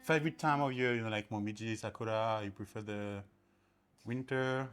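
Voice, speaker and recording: A woman's voice: extremely low pitch, moderate pace, a little hiss or hum. A woman interviewing a guest in a casual chat and keeping the talk going with a string of friendly questions.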